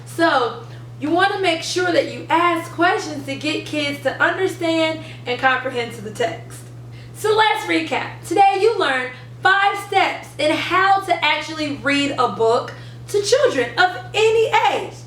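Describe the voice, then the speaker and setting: A woman's voice: high-pitched, lively intonation, measured pace, fairly bright tone. A young woman presenting a how-to lesson as a reading teacher, explaining step by step and stressing key words. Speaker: reading teacher and channel host. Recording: roomy, distant mic, faint noise.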